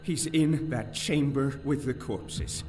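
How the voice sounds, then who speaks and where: An actor playing an anxious conspirator in a fantasy audio drama, recorded a little away from the mic. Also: male voice, medium pitch, takes it slowly, darkish tone, recorded from a distance, soft background music, close to an everyday voice. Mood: urgent, questioning.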